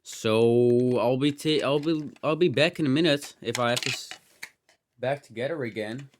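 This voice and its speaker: A man's voice: medium pitch, normal speed, a little hiss or hum. A man with a slightly dry, strained throat gives casual hands-on commentary, rambling and thinking aloud with muttered asides and mild exclamations. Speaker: host of a hobby electronics teardown video. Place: indoors in a cluttered room at home.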